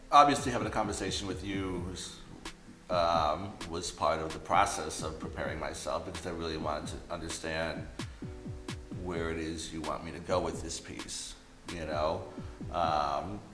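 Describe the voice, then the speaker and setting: A man's voice: low-pitched, unhurried, echoey room, music in the background. A man talking casually and reflectively as an artist being interviewed, often acting out imagined bits of dialogue to explain himself.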